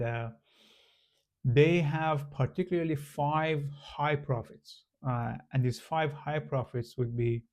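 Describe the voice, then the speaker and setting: A man's voice: mid-range voice, warm tone. Hesitant man with a Persian accent. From Iran. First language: Farsi. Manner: hesitant, many fillers and restarts. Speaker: channel host. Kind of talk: casual explanatory monologue.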